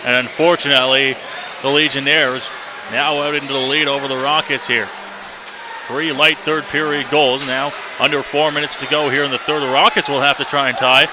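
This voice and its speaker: A man's voice: mid-range voice, moderate pace. A man doing live radio play-by-play commentary of a hockey game in clipped running phrases. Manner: clipped running phrases, excited shouts on big plays. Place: indoor ice hockey arena. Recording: noisy live arena broadcast.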